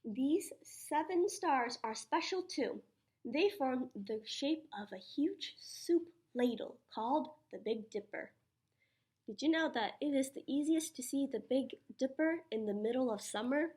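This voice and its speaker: High-pitched, slow-talking woman reading to children. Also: lively intonation, darkish tone. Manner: child-directed, asks questions and answers them herself. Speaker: preschool teacher reading to children. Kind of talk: read-aloud of a children's science picture book.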